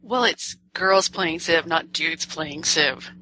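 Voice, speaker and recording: A woman's voice: low voice, takes it slowly, bright, forceful tone, a little hiss or hum, rough recording. A woman co-hosting a gaming podcast, chatting casually, teasing and laughing often. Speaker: podcast co-host.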